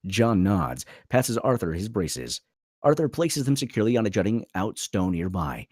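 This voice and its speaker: A man's voice: on the low side, sing-song, moderate pace, a little mellow, no room sound, clean studio sound. A man narrating a screenplay dramatically at a table read.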